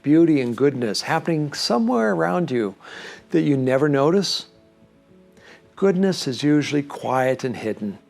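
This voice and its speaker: A man hosting a reflective program, speaking to listeners in an earnest, gently instructive way. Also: a little high, gravelly. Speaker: host of a reflective series.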